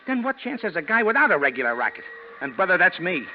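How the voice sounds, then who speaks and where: An actor playing a nervous, slangy American ex-convict in a 1940s radio crime drama, pleading and hesitant. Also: male voice, high voice, sing-song, quick pace. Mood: self-justifying. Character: nervous, fast-pleading male ex-convict, slangy small-time crook. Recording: dull, noisy vintage radio recording.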